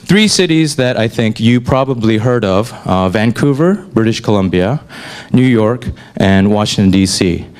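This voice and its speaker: Relaxed man giving a school lecture. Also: fairly low pitch, moderate pace. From Korea, raised in North America. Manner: relaxed and conversational, with frequent "uh" fillers. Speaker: newspaper chief editor giving a talk. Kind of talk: lecture to students. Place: lecture hall.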